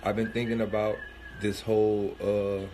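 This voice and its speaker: A man's voice: fairly low pitch, flat delivery, normal speed, low-quality recording. A man in his thirties with a cold, sniffling a little as he thinks aloud in a casual livestream monologue, loose and rambling with many fillers and restarts. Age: 30s. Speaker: rapper streaming live.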